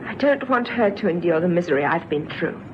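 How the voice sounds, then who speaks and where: An actress playing a despairing young mother in an old radio drama, bitter yet gentle, speaking in an earnest, halting way. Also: on the low side, lively intonation, natural voice. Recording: dull, faintly noisy old radio recording.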